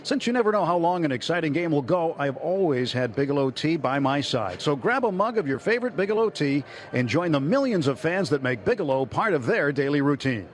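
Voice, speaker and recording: A man's voice: fairly high pitch, sing-song, fairly quick. A man working as a radio sports broadcaster, speaking in a scripted announcing style.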